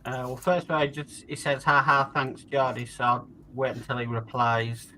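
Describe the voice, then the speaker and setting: A man's voice: medium pitch, flat delivery, moderate pace, pushed and bright. A man hosting a casual live chat, reading out viewer comments and going through the week's schedule. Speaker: host.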